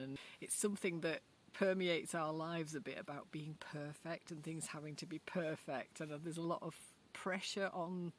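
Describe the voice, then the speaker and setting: A woman's voice: sits low, a little hiss or hum. A middle-aged woman with a Yorkshire accent, chatting casually and reflectively to camera outdoors in a forest garden, musing aloud with loose, rambling hesitations. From Yorkshire, England. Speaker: vlogger. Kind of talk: casual unscripted talk to camera.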